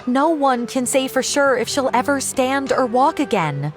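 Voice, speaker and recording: A woman's voice: sits high, expressive, unhurried, soft background music. An actress playing a distressed mother worried about her sick child in a video game. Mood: sorrowful, worried.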